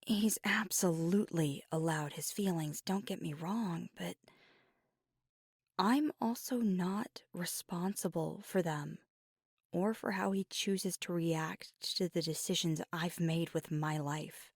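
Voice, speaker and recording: A woman playing a weary, guarded werewolf girlfriend confiding in an intimate ASMR roleplay, in a halting, breathy near-whisper. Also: fairly low pitch, no echo, clean studio sound, exaggerated voice. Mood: weary, frustrated, firm. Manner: halting, hushed, confessional, with pauses. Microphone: close microphone, dry.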